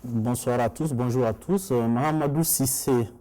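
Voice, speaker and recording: A man's voice: mid-range voice, normal speed, mellow. A middle-aged man from Mali with a Malian accent, giving earnest, formal answers as a political candidate in an interview. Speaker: election candidate being interviewed.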